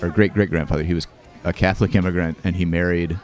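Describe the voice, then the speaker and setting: Guy with a super deep voice hosting, kinda halting and musing, lots of ums, crowd noise under it. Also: fairly even intonation. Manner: halting and reflective, with frequent fillers, restarts and soft laughs. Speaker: host. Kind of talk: casual commentary over home movies.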